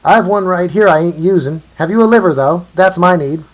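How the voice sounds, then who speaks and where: A man voicing an ancient, croaking grave robber who haggles briskly in a rustic way, from a storybook. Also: sits high, lo-fi sound, pitched above the performer's own voice. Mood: haggling.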